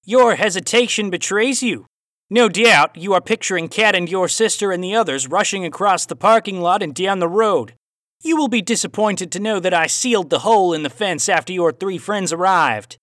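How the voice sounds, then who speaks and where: A man voicing a menacing, philosophical cowboy villain in an audiobook, with an Australian accent touched by cowboy twang and a formal, taunting, deliberate manner. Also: high voice, fairly bright tone, no echo, clean studio sound, pitched above the performer's own voice. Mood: sinister musing. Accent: Australian with a hint of cowboy twang. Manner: formal, deliberate, sinister rhetorical questioning. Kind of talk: audiobook character voice.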